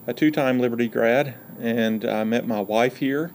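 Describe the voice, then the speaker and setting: A man's voice: medium pitch, moderate pace, a little mellow, slight background noise. A middle-aged man with a Georgia Southern accent, a university provost chatting as a podcast guest in a folksy, joking, frank way. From Georgia, USA. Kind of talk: casual interview chat.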